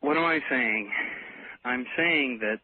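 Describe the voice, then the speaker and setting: Hesitant, slow-talking man on the phone. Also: medium pitch, husky. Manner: hesitant, apologetic, halting. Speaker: radio show caller. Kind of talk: casual phone-in conversation. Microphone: telephone. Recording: tinny noisy phone line.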